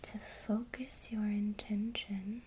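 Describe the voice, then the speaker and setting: Woman, ASMR guide, unhurried soft whisper, faint crinkle behind. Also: mid-range voice, slight background noise, rough recording. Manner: soft unvoiced whisper, slow and calm. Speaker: ASMR creator teaching witchcraft.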